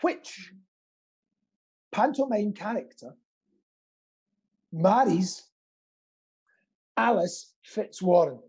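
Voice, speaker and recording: A man's voice: high-pitched, expressive, drawn-out words, pauses often, a little mellow, breathy edge, low-quality recording. A middle-aged British man co-hosting a live quiz, with casual banter and a theatrical habit of repeating phrases. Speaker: quiz co-host and pantomime dame performer.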